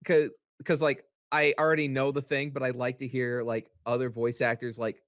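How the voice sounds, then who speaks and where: A man chatting casually as a podcast panelist, in a hesitant, halting way. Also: medium pitch, level tone, fairly bright tone, dry recording, studio quality. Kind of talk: casual chat.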